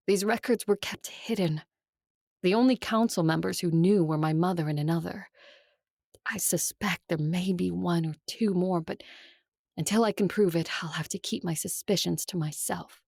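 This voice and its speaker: Husky, gravelly vampire noblewoman. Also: lowish voice, darkish tone, no room sound, clean studio sound. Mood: grave, confessional. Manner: measured, confiding, grave. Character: ancient vampire noblewoman, centuries old, earnest and resolute. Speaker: voice actor and story narrator. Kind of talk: audio drama acting.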